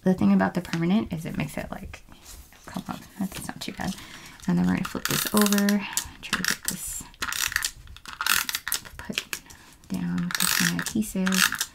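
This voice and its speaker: A woman's voice: lowish voice, flat delivery, moderate pace, gravelly, pauses often, heavy background noise. A woman chatting casually as she crafts at home, musing to herself and often trailing off into murmurs. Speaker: planner video host. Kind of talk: casual crafting chat, narrating as she works. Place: at home, indoors.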